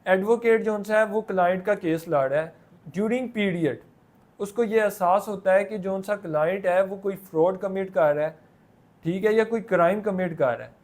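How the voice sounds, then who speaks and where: A man's voice: high voice, normal speed, a little mellow. A man with a Pakistani accent explaining material like a lecturer, in a colloquial way with repeated filler phrases and English terms mixed in. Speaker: law lecturer.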